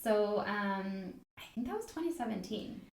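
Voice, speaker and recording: A woman in her thirties hosting an interview podcast, upbeat when introducing a guest and gentle and supportive when listening. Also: medium pitch, lively intonation, normal speed, gravelly, reverberant. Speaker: podcast host.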